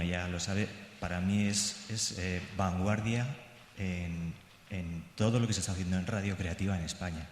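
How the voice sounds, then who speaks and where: Low-voiced man in an echoey hall. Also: slight background noise. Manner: hesitant, halting, appreciative. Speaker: radio teacher, audience speaker. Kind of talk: informal remarks from the floor. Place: large indoor conference hall.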